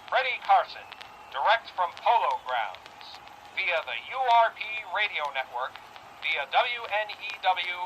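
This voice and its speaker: High, bright 1920s radio football announcer. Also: male voice, a little hiss or hum, full character voice, rough recording. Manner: grandiose, formal showman delivery. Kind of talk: 1920s radio sports commentary acting.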